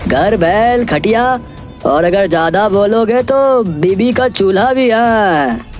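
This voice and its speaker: A woman's voice: medium pitch, expressive, slow, a little mellow, soft background music. A woman playing a bullying village loan collector man who threatens and mocks with a drawl in a cartoon story. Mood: mocking threat.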